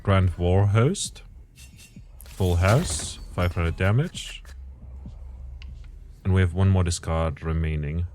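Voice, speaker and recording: Male gameplay commentator, low voice a bit strained, mumbly think-aloud delivery. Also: slow, long pauses, a little mellow, faint music behind. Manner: thinks aloud, muttering calculations and self-corrections. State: voice strained lately.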